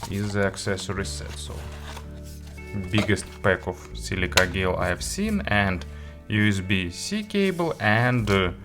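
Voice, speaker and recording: A man with a low-pitched voice hosts a gadget review. He speaks slowly and haltingly, pausing often as he explains. Music plays faintly behind him. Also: big pitch swings. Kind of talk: product review, talking through an unboxing.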